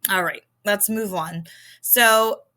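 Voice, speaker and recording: A woman teacher with a Philadelphia accent explains vocabulary in an informal recorded lesson. Her voice is bright and forward, and she takes her time, addressing students in a conversational way. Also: medium pitch, takes it slowly. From Philadelphia area, United States.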